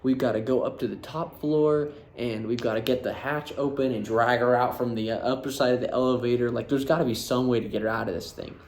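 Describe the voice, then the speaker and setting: An American man in casual storytelling, animated and laughing as he acts out what people said. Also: medium pitch, brisk, hardly any pauses, a little hiss or hum. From United States. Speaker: vlogger.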